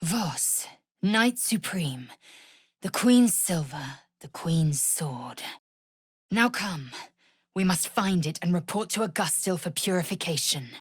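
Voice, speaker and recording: An actress playing a fierce, proud young female alien warrior in a video game, with a husky, raspy voice and a clipped, haughty, formal manner. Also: lowish voice, expressive, slow pace, no room sound, studio quality, exaggerated voice. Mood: reverent, commanding. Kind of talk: video game character voice acting.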